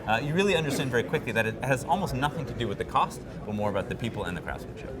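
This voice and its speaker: A man's voice: medium pitch, animated, racing. An American man in his thirties, a guest in an interview, talking fluently and confidently with many fillers at a trade fair. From United States. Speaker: guest, website founder. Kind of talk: conversational interview. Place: busy trade fair booth. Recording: loud crowd chatter behind.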